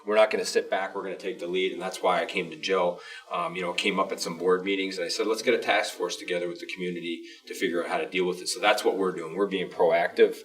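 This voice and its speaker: Low-voiced man, slightly distant from the mic. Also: steady tone, quick pace. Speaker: school superintendent co-hosting a district show. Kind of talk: informal panel discussion.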